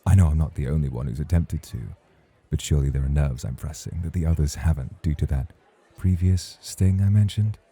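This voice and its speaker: A man's voice: very deep voice, brisk, warm tone. A man playing a suave, teasing champion nightclub host in a romantic ASMR roleplay, speaking in a courtly, provocative way. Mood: teasing, probing. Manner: smooth, courtly, playfully provocative.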